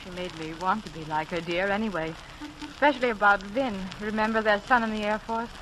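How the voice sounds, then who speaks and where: An actress playing a gentle, sincere middle-aged mother in a 1940s radio family drama. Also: medium pitch, steady tone, brisk, lightly acted. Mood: wistful. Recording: hissy 1940s radio recording.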